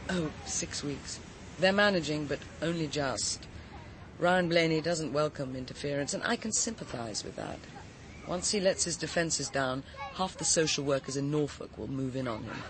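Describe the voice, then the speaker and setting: A woman's voice: sits low, normal speed, background noise, natural voice. An actress playing a poised, sociable countrywoman in a radio drama, speaking politely and articulately with slight hesitations in a British accent. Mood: sociable, concerned. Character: poised, sociable, frank countrywoman, a writer and cook.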